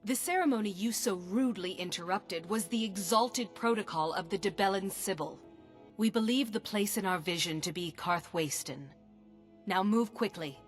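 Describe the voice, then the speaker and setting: A woman's voice: medium pitch, moderate pace, faint music behind, close to an everyday voice. A woman playing a stern, haughty priestess in a fantasy video game, speaking formally and with command. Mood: stern, imperious.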